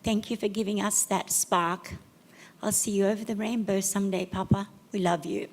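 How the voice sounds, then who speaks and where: A middle-aged woman reading family tributes aloud at a memorial service, with a gentle, measured delivery and pauses between phrases. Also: mid-range voice, steady tone, slight background noise. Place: memorial service venue, large room. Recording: hall reverb.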